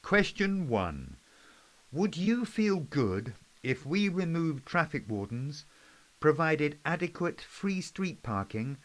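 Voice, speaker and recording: Man acting a lively, lilting Prime Minister. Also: on the high side. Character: British Prime Minister, polite, folksy, addressing a rowdy crowd. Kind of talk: amateur play script performance.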